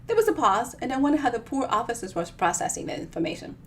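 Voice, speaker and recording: A woman giving an expressive storytime reading of their own fiction, with chatty, informal asides. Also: fairly high pitch, fast, slight background noise. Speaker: author reading their own novel on a live show.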